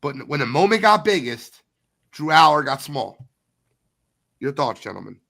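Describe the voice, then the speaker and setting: A man hosts a sports podcast with a bright, forceful tone. He's congested from a cold but still blunt and opinionated, calling things as he sees them. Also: on the high side, very animated, normal speed, gravelly. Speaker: podcast host.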